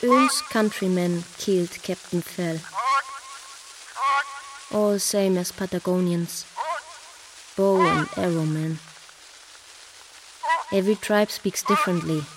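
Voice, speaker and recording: A woman playing a Fuegian native who gives testimony in halting broken English in a radio play. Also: lowish voice, a loud crowd, rough recording. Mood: plain, insistent. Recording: voice over prominent music bed. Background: music.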